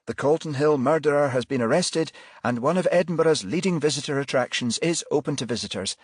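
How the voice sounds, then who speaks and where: Man voicing a brisk female TV news reporter. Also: on the high side, lively intonation, slightly rough, no echo, pitched above the performer's own voice. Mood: presenting. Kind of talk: audiobook character voice.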